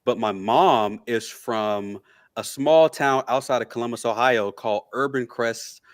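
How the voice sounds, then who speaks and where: A man's voice: medium pitch, measured pace. Podcast guest, male, middle-aged, American accent, mid-range voice, casual conversational answers. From Memphis, Tennessee, USA. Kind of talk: casual interview talk.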